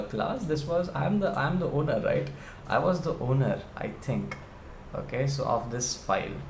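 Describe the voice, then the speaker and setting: A man leads a live coding class in an easygoing, rambling way, full of "uh"s and restarts. His mid-pitched voice sits in a roomy, echoey space. A faint hum runs behind him. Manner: chatty, many fillers and restarts. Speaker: online coding instructor.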